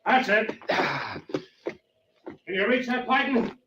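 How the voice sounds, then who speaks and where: An actor playing a commanding mountaineer in an old-time radio drama, giving clipped, forceful instructions. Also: male voice, high voice, very animated, drawn-out words, highs cut off, full character voice. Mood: steady, encouraging. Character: commanding, steady male mountaineer coaching climbers on the rope. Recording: echoey, distant, noisy old radio recording.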